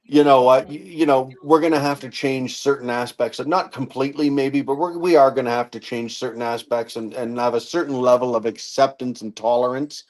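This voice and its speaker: Casting: middle-aged man, mid-pitched, loose rambling talker who swears and circles back on his points. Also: fairly even intonation, fairly quick, few pauses, a little mellow. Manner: rambling, profane, trails off and restarts. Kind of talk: casual chat.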